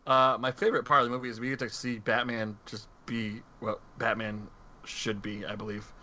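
A man's voice: mid-range voice, little pitch movement, moderate pace, bright, forceful tone. A man in a casual movie discussion as a podcast panelist, speaking in hesitant, meandering phrases. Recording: dull lo-fi mic, faint noise.

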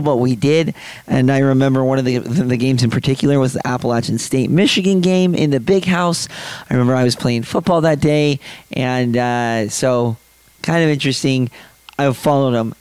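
A young man hosts a casual sports podcast in a slightly raspy voice, his throat worn from late nights. He rambles through his thoughts, full of fillers and self-corrections, at an everyday middle pitch. The recording is dry and close, with no room sound. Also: darkish tone, no echo. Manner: rambling, many fillers and restarts. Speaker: podcast host.

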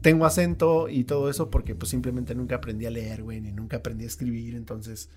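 A man's voice: mid-range voice, little pitch movement, fast, soft background music. A man, co-hosting a podcast in casual, crude, joking banter full of swearing. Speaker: podcast co-host. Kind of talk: casual chat.

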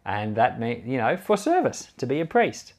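A man's voice: medium pitch, very animated, normal speed, a little mellow. A man speaking as a pastor, giving informal Bible commentary in an earnest, conversational, explanatory way. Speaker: pastor and Bible podcast host.

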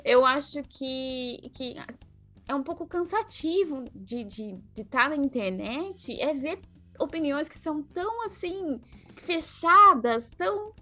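A Brazilian woman talks as a podcast co-host in a high-pitched voice. She is conversational and emphatic, with faint music playing underneath. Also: animated, slow, pushed and bright. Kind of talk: casual podcast chat.

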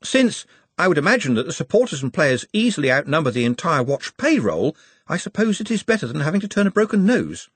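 A man's voice: a little high, big pitch swings, a little fast, breathy edge, no room sound, voice pushed up. A man reading an audiobook, voicing an anxious, precise young male wizard who hedges before bad news. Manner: hesitant and precise, with fussy hedging.

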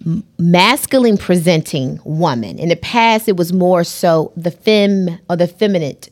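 A woman's voice: on the low side, expressive, a little slow. A woman hosting a podcast, introducing her guest with enthusiasm and backing her up with frequent murmurs of agreement. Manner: enthusiastic, affirming with frequent mm-hmms. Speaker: podcast host. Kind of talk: casual interview hosting.

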